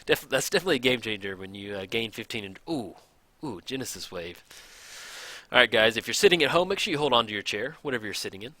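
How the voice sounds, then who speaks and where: A man casually commenting on a card game match, thinking aloud, his voice a little raspy from hours of recording. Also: mid-range voice, lively intonation, quick pace, no room sound. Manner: chatty, thinks aloud, counts out loud. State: slightly raspy from long recording. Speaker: gameplay commentator.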